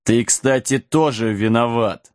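A man playing a huge, ancient dark god in a fantasy audiobook, brooding and imperious, with a slow drawl. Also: medium pitch, no echo, studio quality, natural voice. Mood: menacing. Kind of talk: audiobook character acting.

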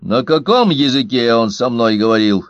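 Brief: polite bewildered provincial guy, voiced by a man, lively intonation, lo-fi take. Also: medium pitch, normal speed, dry recording, natural voice. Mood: hesitant. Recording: dull, dry lo-fi recording.

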